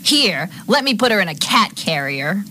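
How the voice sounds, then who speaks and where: A woman playing a mean captor in a drama, with a deliberate delivery and falsely sweet menace. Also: on the high side, big pitch swings. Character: mean woman falsely soothing, then threatening, her captive. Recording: noisy amateur room recording.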